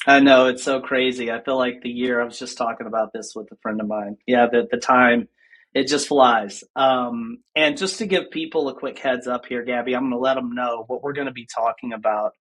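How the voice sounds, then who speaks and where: A man's voice: medium pitch, fairly even intonation, brisk, fairly bright tone, rough recording. A man hosting an interview podcast over a video call, upbeat and enthusiastic. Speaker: podcast host.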